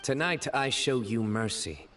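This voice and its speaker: An actor playing a stern, solemn young warrior in an anime video game, speaking in a formal, terse deadpan. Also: male voice, medium pitch, measured pace, far from the mic.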